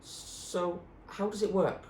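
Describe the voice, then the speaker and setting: A man's voice: high voice, steady tone, slow pace, a little mellow, reverberant, a little hiss or hum, voice pushed up. A man voicing a brave, practical young girl in a storybook reading, urgent and matter-of-fact. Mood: uncertain.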